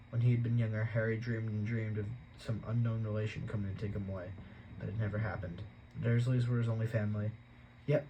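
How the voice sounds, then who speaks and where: A man reading a novel aloud at a steady pace, with an occasional stumble he corrects. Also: lowish voice, slight background noise, low-quality recording. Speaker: audiobook reader.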